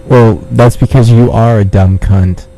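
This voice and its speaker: A man's voice: lowish voice, steady tone, a little slow, warm tone, soft background music. A man making a prank phone call, talking in a crude, taunting way and swearing, over a noisy phone line. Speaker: prank caller.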